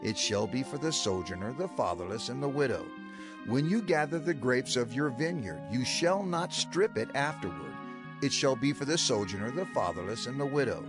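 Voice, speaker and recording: A man's voice: medium pitch, expressive, close to an everyday voice. A man voicing a solemn old lawgiver. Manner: measured, solemn declamation. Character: aged male lawgiver, solemn and commanding. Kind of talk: dramatized scripture reading. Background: background music.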